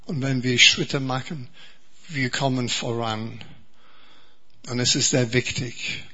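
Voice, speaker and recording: An Englishman preaching in German, a second language learned alongside his native English, speaking slowly and earnestly with many repetitions and restarts. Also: medium pitch, fairly even intonation, pushed and bright, highs cut off. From England. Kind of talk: sermon.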